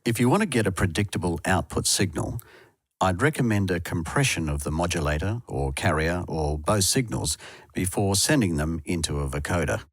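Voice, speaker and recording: A man's voice: sits low, lively intonation, normal speed, no echo. A man presenting a tutorial in scripted instructional narration, recorded as a close, dry voice-over. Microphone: close dry voice-over microphone.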